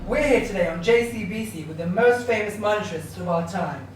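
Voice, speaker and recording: A woman's voice: mid-range voice, animated, a little fast, music in the background. Female interviewer in a very echoey room. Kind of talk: scripted mock TV interview. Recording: very echoey room, distant mic, faint noise.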